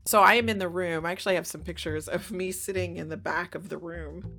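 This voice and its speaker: Low creaky-voiced woman explaining casually. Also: steady tone, fairly quick, sharp tone, faint music behind. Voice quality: creaky, gravelly edge. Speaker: audio drama creator and director, interview guest. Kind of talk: explanatory casual interview chat.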